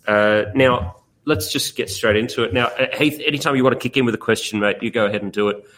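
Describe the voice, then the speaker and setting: A man's voice: mid-range voice, fast, a bit bright. An Australian man hosting a podcast, joking and rambling casually and often breaking off mid-thought. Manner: jokey, rambling, self-interrupting. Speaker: podcast host.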